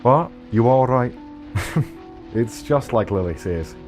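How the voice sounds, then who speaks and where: A man's voice: mid-range voice, lively intonation, takes it slowly, darkish tone, soft background music. A man playing a thoughtful, plain-spoken survivor in an audio drama, easygoing and reflective. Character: plain-spoken married male survivor, thoughtful, gently probing. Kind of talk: low-key audio drama acting.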